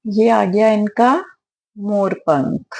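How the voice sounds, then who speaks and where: A woman with a slightly hoarse throat, clearing it now and then, talking gently and affectionately in a casual devotional vlog at her home altar. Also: medium pitch, extremely slow. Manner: gentle, affectionate, preachy at times. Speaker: devotional vlogger.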